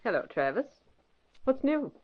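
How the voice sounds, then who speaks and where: Brisk newswoman, lively high-ish voice. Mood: dry. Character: brisk, self-assured newspaper woman. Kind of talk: 1940s radio acting. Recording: muffled vintage radio, faint noise.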